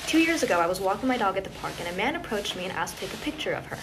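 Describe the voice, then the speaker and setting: A woman's voice: mid-range voice, sing-song, very fast. A woman narrating a casual storytime. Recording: very noisy, sizzling watery background.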